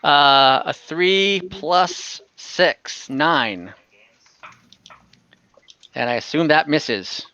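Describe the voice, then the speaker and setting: A game master narrating a tabletop role-playing game informally, thinking out loud while tallying dice over an online call. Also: mid-range voice, lively intonation, very slow, pauses often, dull audio, a little hiss or hum. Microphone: online group call.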